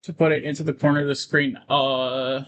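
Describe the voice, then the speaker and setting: A man doing casual livestream commentary on a game, reading on-screen text aloud and halting to break into asides. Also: medium pitch, flat delivery, fairly bright tone, lo-fi sound, a little hiss or hum.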